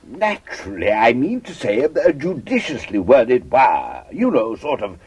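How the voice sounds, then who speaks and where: A man's voice: medium pitch, big pitch swings, unhurried, darkish tone, slightly rough. An actor playing a bumbling, amiable older English husband and father who stammers and puts on pompous man-of-the-world airs in a radio farce. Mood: blustering, dithering. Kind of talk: stage farce acting for radio.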